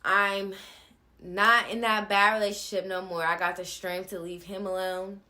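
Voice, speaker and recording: A woman's voice: medium pitch, level tone, moderate pace, sharp tone. A young woman telling a personal story to viewers in a casual, candid, blunt way, with slangy phrasing.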